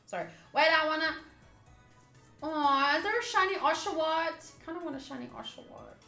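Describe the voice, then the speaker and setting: A woman's voice: sits high, expressive, normal speed, vocal fry, pushed and bright. A woman streamer giving casual playthrough commentary on a visual novel and reading lines aloud. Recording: roomy sound with faint game music. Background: faint game music.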